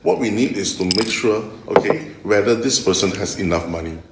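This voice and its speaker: A middle-aged man with a Malaysian accent giving halting, explanatory interview answers, often repeating words and ending phrases with "okay". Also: lowish voice, expressive, very noisy. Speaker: interviewee, tech executive. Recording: echoey room, music underneath.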